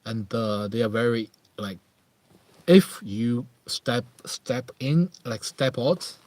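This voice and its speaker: A man with a Chinese accent talks in a close, dry recording at an unhurried, slow pace. He hosts a casual live chat, thinking aloud in halting phrases that he often repeats. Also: mid-range voice, animated, a little mellow, no echo. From Harbin, northeast China. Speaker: livestream host.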